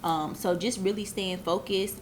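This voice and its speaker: A woman's voice: medium pitch, steady tone, measured pace, background noise. A woman in her twenties, a business owner, talking earnestly and reflectively as an interview guest, with many filler phrases. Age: 20s. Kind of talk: casual interview talk.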